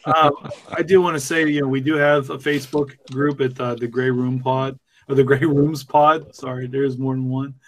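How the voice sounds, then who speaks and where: A man's voice: mid-range voice, steady tone, moderate pace, poor audio. A man acting as host in a casual podcast chat, putting questions to guests.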